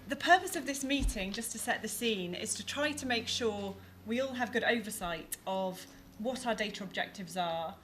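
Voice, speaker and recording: A woman's voice: mid-range voice, fairly bright tone, slight background noise. A woman on a conference panel playing a data protection officer who opens a meeting in a formal, organised way. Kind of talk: scripted role-play at a conference. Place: conference hall.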